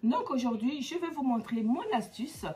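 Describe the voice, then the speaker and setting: A woman's voice: fairly high pitch, normal speed, dark tone. A woman from the Comoros with a stuffy nose from a cold, chatting casually through a beauty how-to vlog with many hesitations. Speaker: beauty vlogger.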